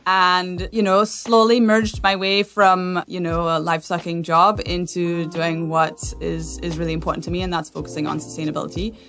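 A woman's voice: lowish voice, little pitch movement, moderate pace, hardly any pauses, a bit bright, faint music behind. A woman from Trinidad and Tobago explaining things conversationally as an expert interview guest. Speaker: guest, sustainability consultant.

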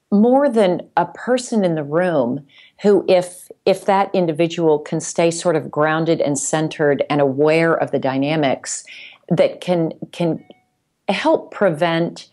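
A woman's voice: on the low side, slow, darkish tone. A woman speaking as a podcast guest in casual conversation, explaining things reflectively step by step. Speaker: podcast guest, sales executive.

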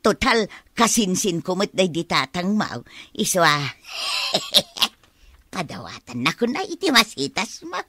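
A woman playing a chatty, wheedling older village aunt in an Ilocano comedy radio drama, coaxing with drawn-out exclamations. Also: lowish voice, big pitch swings, sharp tone, no room sound, heavily acted.

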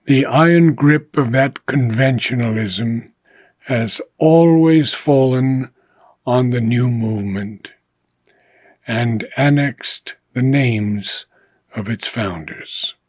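Slow, husky man reading aloud. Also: medium pitch, darkish tone, no room sound. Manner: measured, deliberate, phrase by phrase with pauses. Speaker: reader. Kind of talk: audiobook-style reading of philosophical prose.

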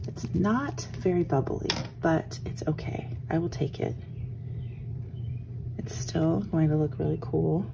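A woman with a hoarse morning voice, chatting casually as she walks through a craft tutorial at her desk, often trailing off into soft murmurs. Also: sits low, measured pace, noisy background. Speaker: art journaling instructor. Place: home craft desk, indoors.

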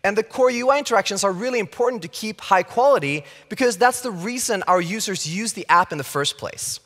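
A man in his thirties from Sweden with a Swedish accent, giving a playful, lively tech conference talk on a large stage. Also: high voice, a little fast. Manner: lively and playful, acts out quoted opinions. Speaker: conference speaker. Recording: reverberant hall.

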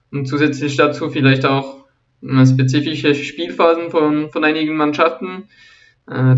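A young man speaks in a fairly high voice, stumbling and repeating words as he works through a prepared introduction. He sits a little back from a dull, lo-fi microphone. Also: distant mic. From Switzerland. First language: French. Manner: halting, many repeated words and restarts. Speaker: football channel host. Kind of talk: scripted channel introduction.

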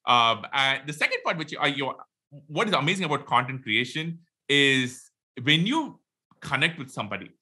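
Fast-talking Indian-accented man, bright voice. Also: medium pitch, slightly rough. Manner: quick, animated, many fillers. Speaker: podcast guest, investor. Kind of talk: casual interview talk. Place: home room with bookshelves. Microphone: headphones, video call.

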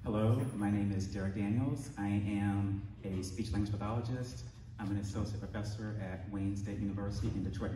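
A man's voice: on the low side, dark tone, a little hiss or hum. A male speech language pathologist and professor introducing himself on a panel, fluent and composed. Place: hotel conference room with audience. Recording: echoey conference hall from far off.

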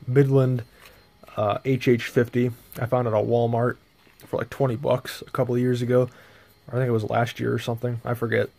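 Guy rambling through a casual vlog on his phone, creaky voice, pretty even tone. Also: mid-range voice. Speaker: hobbyist video presenter. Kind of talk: casual show-and-tell vlog. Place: small bedroom indoors. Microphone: handheld phone camera.

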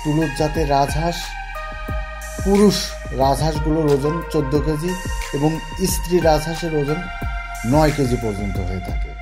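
A young man narrating and interviewing in a measured, informative way, speaking into a handheld mic outdoors at a goose farm. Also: on the high side. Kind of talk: read narration and field interview. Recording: outdoor voice with music bed.

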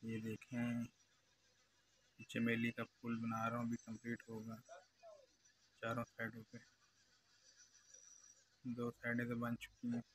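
A man's voice: medium pitch, monotone, takes it slowly, pauses often. A young man with a Pakistani accent giving a repetitive, step-by-step commentary as he demonstrates his craft. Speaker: glass engraver, channel host. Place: glass cutting workshop. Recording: noisy workshop background hum.

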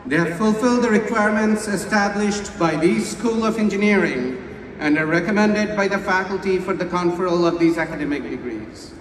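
A man presenting graduates to the chancellor at a commencement, in a formal, deliberate manner. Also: high-pitched, slight background noise. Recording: echoey hall from far off.

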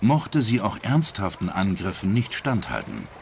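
A man's voice: lowish voice, huge pitch swings, unhurried, creaky, a crowd in the background. A man reading scripted documentary narration as the narrator. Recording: voice over background music. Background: music.

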